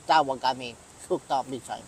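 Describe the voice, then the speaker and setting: A man's voice: medium pitch, normal speed, a little hiss or hum, low-quality recording. A man from Mexico, the host's cameraman, chatting easily as a guest with many fillers and agreeing murmurs. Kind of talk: casual chat.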